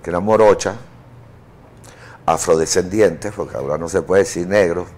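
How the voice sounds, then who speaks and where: Rambling man, fairly low. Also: normal speed, darkish tone. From Italy. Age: 50s. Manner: rambling storyteller, chuckling, with fillers. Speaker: interview guest. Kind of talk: casual chat. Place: TV studio. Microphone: lapel mic.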